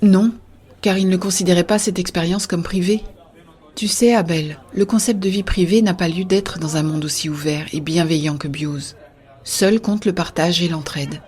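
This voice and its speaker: A woman playing an earnest, idealistic young-hearted believer in a scripted science-fiction audio drama, with a husky, soft delivery. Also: fairly low pitch, moderate pace. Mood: earnest, persuasive. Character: Béa, earnest idealistic woman defending a shared-consciousness network. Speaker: voice actor narrating in first person.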